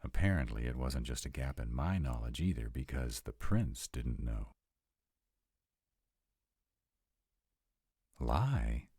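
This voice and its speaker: A man acts out a young male vampire, sardonic but troubled, in an intimate audio roleplay. His voice sits extremely deep, husky and mellow. He confides in a casual, sweary, hesitant way. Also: lively intonation, moderate pace, no room sound, studio quality, heavily acted. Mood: tense, dismayed.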